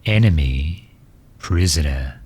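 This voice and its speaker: Man playing a very deep, husky courtly villain. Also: lively intonation, darkish tone, exaggerated voice. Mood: calm, persuasive. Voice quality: husky, low. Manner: formal, measured, silky endearments. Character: megalomaniac villain, courtly and gently solicitous toward injured enemy. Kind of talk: ASMR roleplay audio drama.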